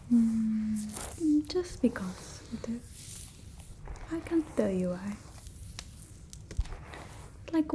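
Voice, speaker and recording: Woman acting a slow, whispery vampire mistress. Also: on the high side, a little hiss or hum. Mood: gently scolding. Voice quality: soft, breathy, often whispered. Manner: halting, matter-of-fact, gently scolding. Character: bossy, doting female vampire tending her human familiar. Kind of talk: ASMR roleplay, soft speech mixed with whispering.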